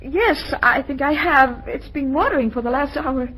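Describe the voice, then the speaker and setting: An actress playing a proud, defensive young bride-to-be in an old-time radio drama. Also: female voice, high voice, normal speed, fairly bright tone. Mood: anxious, pleading. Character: proud young bride-to-be, stubborn daughter, softly torn. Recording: noisy old radio recording.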